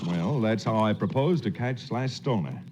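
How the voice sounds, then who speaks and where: An American actor playing a steady, commanding cowboy hero who also tells his own tale, in 1940s radio western style. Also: on the low side, moderate pace, slight background noise, natural voice. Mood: wry, assured. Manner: cool, authoritative, folksy. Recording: 1940s radio recording with music.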